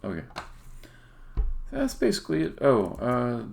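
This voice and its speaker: A man giving a casual live-stream demo as a game developer, halting and thinking aloud as he goes. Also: mid-range voice, wildly swooping pitch, creaky. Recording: noisy, with keyboard typing and clicking.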